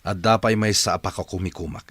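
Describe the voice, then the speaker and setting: Aktor a nababa ken paraw a timek ti negosiante. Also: male voice, deep voice, steady tone, fast talker, a bit bright, slightly rough, dry recording. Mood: serious, insistent. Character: stern businessman searching for his lost child. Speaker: actor in a radio drama. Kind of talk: Ilocano radio drama acting.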